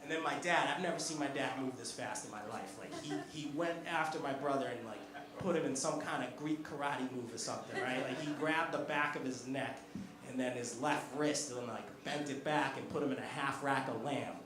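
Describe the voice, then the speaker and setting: A man's voice: medium pitch, brisk, crowd noise. An American man in his thirties doing a chatty stand-up set, teasing the audience on a comedy club stage. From United States. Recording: echoey club room with audience laughter.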